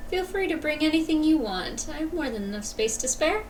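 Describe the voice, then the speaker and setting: A woman's voice: sits high, animated, fairly quick, recorded from a distance, noisy background. A woman livestreaming a video game with casual commentary, reading dialogue choices aloud.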